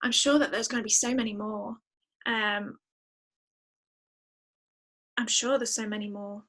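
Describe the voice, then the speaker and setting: Woman podcast guest chatting casually, bright highish voice, really emphatic, says stuff twice for emphasis. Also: fairly even intonation, a little slow. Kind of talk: casual conversational interview.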